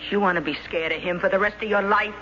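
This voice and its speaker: A plain-spoken, motherly landlady played by a woman in an old radio drama. She prods bluntly and folksily in a bright, forceful voice. The broadcast sound is dulled, with faint noise. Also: medium pitch, steady tone, quick pace, lo-fi sound, close to an everyday voice. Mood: prodding, encouraging.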